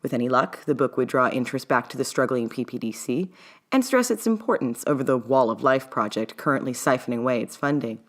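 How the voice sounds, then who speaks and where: A woman's voice: rumbling low, lively intonation, a little fast. A woman author reading her own story aloud in an old-timey mid-Atlantic accent, in the style of an audiobook. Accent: mid-Atlantic, old-timey English-leaning. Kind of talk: audiobook-style narration of fan fiction.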